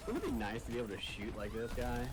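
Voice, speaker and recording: A man's voice: medium pitch, wildly swooping pitch, quick pace. An American man chatting casually while playing a video game online. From United States. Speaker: online gamer. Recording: loud game gunfire and noise behind. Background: video game gunfire and effects.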